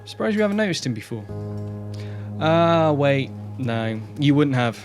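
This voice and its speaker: A man playing a calm, kindly homeless shelter manager in easygoing radio drama dialogue. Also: a little high, unhurried. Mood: thoughtful, sympathetic. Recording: music behind the voice.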